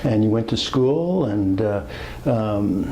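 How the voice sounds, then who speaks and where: A man's voice: lowish voice, lively intonation, slow pace, mellow, slight background noise, low-quality recording. A male interviewer asking questions hesitantly, with many fillers.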